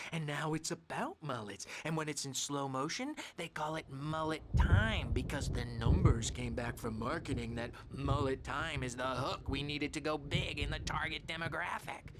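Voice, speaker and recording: Game casting: male actor as unhinged living nightmare, rambling and resentful, clear noise around the voice. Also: mid-range voice, lightly acted. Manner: rambling monologue. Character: menacing nightmare being, ranting, deranged and resentful. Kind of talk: video game character acting.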